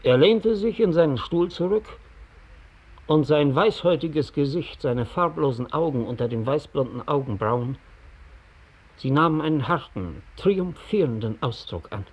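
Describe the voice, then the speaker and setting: Husky, bitter ex-informant. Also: male voice, a little high, expressive, a little slow, lo-fi sound. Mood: brooding, reflective. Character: bitter, reflective male former Gestapo informant recounting his past. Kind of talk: mid-century radio drama monologue.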